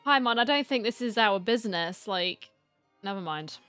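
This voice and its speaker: Female gaming commentator, average pitch, chatty and playful casual reactions over faint music. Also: animated, moderate pace, a bit bright, clear, resonant tone. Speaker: gamer reacting to a story quest.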